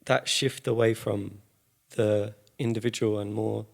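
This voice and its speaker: A man reflects informally at a public panel. He talks very slowly in a lowish, creaky voice that stays fairly even. His delivery is halting, as he searches for words. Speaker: panel participant.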